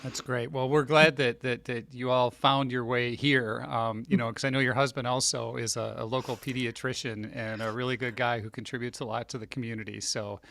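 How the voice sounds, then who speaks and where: A man's voice: medium pitch, little pitch movement, a little fast, creaky, hardly any pauses, fairly bright tone. A man hosting a podcast interview, speaking in a friendly, appreciative, easygoing way. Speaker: podcast host, county executive. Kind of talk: casual interview.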